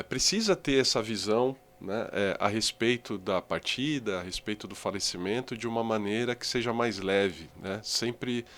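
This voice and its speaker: A man's voice: on the low side, fairly bright tone. A man co-hosting a podcast, speaking reflectively and thinking aloud with some hesitation. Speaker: podcast co-host. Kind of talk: reflective conversation.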